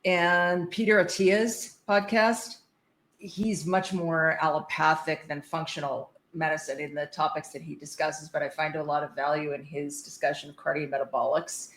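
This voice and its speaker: A woman speaks casually as an interview guest. The sound is clearly roomy and echoey, as on a remote call. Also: sits low, little pitch movement, moderate pace, a bit bright. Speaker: podcast guest, psychiatric practitioner.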